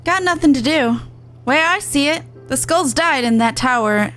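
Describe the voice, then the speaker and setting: A woman's voice: high voice, expressive, moderate pace, a bit bright, faint music behind. A woman voicing a blunt but tender-hearted young catfolk fighter from a fantasy game, frank and colloquial. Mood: frank, forgiving. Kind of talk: video game dialogue read aloud.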